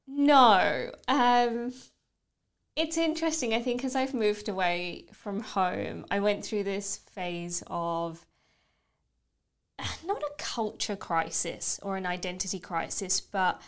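A woman's voice: medium pitch, animated, takes it slowly. A woman in her thirties with a British accent, talking candidly and reflectively about her own life as an interview guest. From United Kingdom. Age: 30s. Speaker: podcast guest. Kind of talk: reflective personal conversation.

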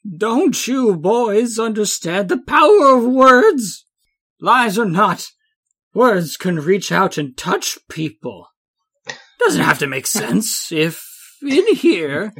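A man voicing a huge, hairy president who lectures in slow, drawn-out, grandiose speeches. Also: sits high, sing-song, a bit bright, slightly rough, pitched above the performer's own voice, exaggerated voice. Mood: preachy.

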